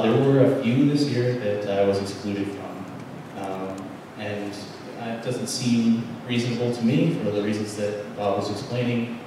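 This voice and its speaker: A young man, a political candidate, giving a halting closing statement full of fillers into a handheld mic in a large banquet hall. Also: lowish voice. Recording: echoey hall from far off, background noise.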